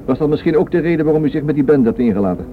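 A man's voice: a little high, lively intonation, very fast, close to an everyday voice. A man acting a sceptical, probing character in a radio play, questioning with pointed irony. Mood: accusing, sceptical. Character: sceptical, probing man confronting a crooked policeman. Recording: narrow lo-fi radio recording, strong noise.

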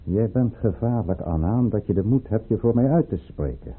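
A man's voice: fairly low pitch, expressive, brisk, slightly rough. An actor playing a calculating, commanding statesman in a radio play, speaking formally and theatrically. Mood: guarded, stern. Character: calculating, commanding statesman with secrets, in a troubled love affair. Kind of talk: Dutch radio play acting. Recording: muffled, phone-like old radio play recording.

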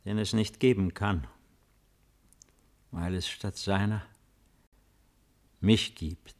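Slow, low-voiced weary old emperor. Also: male voice, fairly even intonation. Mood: brooding. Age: older. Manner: solemn, measured, declamatory. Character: weary, melancholy old Roman emperor, commanding yet resigned. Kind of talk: 1950s German radio drama acting.

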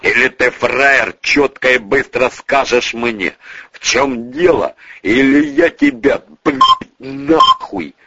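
Casting: male narrator as menacing, explosive crime boss, snarling and husky, dull lo-fi audiobook sound. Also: medium pitch, huge pitch swings, slow pace, sharp tone, no echo, full character voice. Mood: furious. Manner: snarling, swearing, threatening. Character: brutal, foul-mouthed gangster boss, menacing and explosive.

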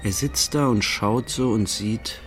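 An actor playing a sober narrator in a literary radio play, speaking in short, measured declarative phrases. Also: male voice, on the low side, faint music behind, natural voice. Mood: lyrical observing. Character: sober male narrator observing an artist closely.

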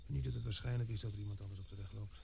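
Man voicing cautious lone walker in a radio drama; deep voice, fast talk, noisy backdrop. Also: steady tone. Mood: wary. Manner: low, wary, gravelly. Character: lone man walking a remote road. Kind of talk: radio drama inner monologue. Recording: noisy background.

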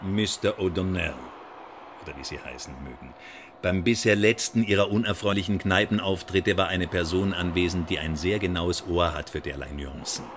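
An actor playing a suavely menacing French secret police agent in a German radio drama, speaking in a verbose, mock-polite way. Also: male voice, deep voice, normal speed, a little hiss or hum, lightly acted. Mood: coolly threatening.

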